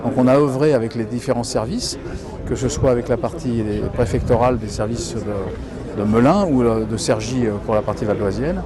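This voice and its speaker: A man's voice: mid-range voice, normal speed, crowd noise. A man, a local elected official, explaining things in an interview in colloquial, hesitant phrasing.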